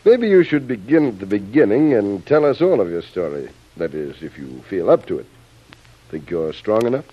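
An actor playing a suspicious island boss in a vintage radio drama, questioning with smooth courtesy and a probing edge. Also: male voice, fairly low pitch, very animated, moderate pace. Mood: courteous, probing. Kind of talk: 1940s radio acting. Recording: muffled vintage radio recording.

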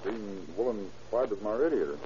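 A man's voice: lowish voice, lively intonation, a little fast, close to an everyday voice. Man acting a shifty, defensive suspect, voice swinging. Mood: nervous, evasive. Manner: stammering protests and lame excuses. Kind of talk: old-time radio crime drama acting. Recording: muffled, noisy vintage radio recording.

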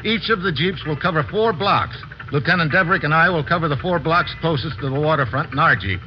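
A man's voice: a little high, brisk, natural voice. Casting: male actor as commanding American investigator, clipped and resourceful, vintage radio thriller, muffled noisy recording. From United States. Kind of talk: old-time radio drama acting.

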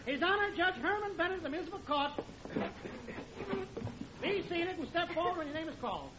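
An actor playing a formal, officious court clerk, calling out in 1940s radio comedy style. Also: male voice, extremely high pitch, expressive, a little fast, background noise. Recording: muffled old radio recording with crowd.

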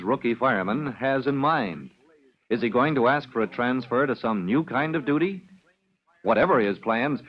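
A male announcer introducing a radio drama episode with a dramatic delivery. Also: mid-range voice. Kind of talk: scripted radio narration. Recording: dull old radio recording.

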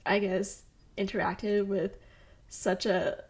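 A woman's voice: medium pitch, little pitch movement, slow pace, a little mellow. A young adult woman telling a childhood story in casual conversation, with lots of "like" fillers. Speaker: participant.

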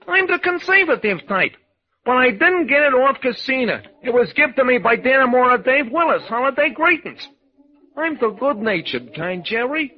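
A man's voice: extremely high pitch, voice pushed up. A man voicing a little whiny, shifty hoodlum in wheedling gangster slang in a 1940s-style radio crime drama. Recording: dull old radio recording.

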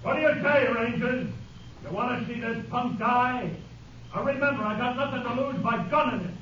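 A man's voice: extremely high pitch, normal speed, fairly bright tone, distant mic. An actor playing a desperate, taunting gunman outlaw holding a hostage in an old-time radio western. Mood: menacing. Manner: taunting, threatening Western tough talk. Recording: muffled, noisy, echoey 1950 radio recording.

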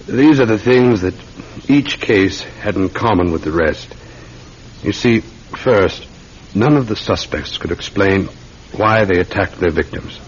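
An actor playing a polite, methodical London police detective with a British accent, deferential and musing in an old-time radio drama. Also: male voice, deep voice, lively intonation, a little slow, a little hiss or hum. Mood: polite, thoughtful. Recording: dull, muffled classic radio recording.

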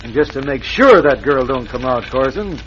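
A man playing a greedy, conniving, rough villain in an old radio western. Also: mid-range voice, animated, moderate pace, a bit bright, close to an everyday voice. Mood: scheming. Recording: muffled, faintly noisy vintage radio recording.

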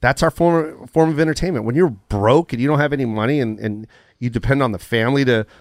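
A man in his forties chatting on a podcast, animated and profane, laughing often. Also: medium pitch, fast, no echo, clean studio sound. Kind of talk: casual podcast chat.

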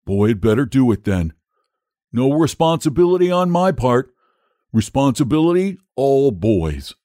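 A man's voice: medium pitch, sing-song, a little slow, mellow, no room sound, clean studio sound, pitched above the performer's own voice. A man voicing a big, lazy, self-important storybook dragon with jovial, clipped old-fashioned upper-class slang. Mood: drowsy, contented.

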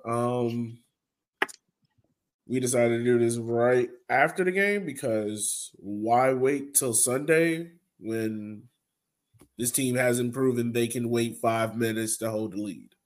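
A man's voice: medium pitch, slow pace. A man hosting a soccer fan podcast, giving a blunt, frustrated and casual recap. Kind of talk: casual sports recap discussion.

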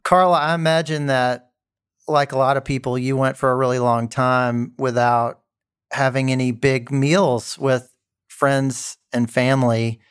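A man interviewing a guest, asking measured, friendly questions. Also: medium pitch, flat delivery, clear, resonant tone.

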